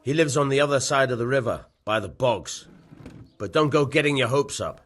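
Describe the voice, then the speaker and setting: An actor playing a gruff, dismissive medieval town bailiff in a video game, husky and matter-of-fact. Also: male voice, mid-range voice, normal speed, fairly bright tone, lightly acted. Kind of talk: video game dialogue acting.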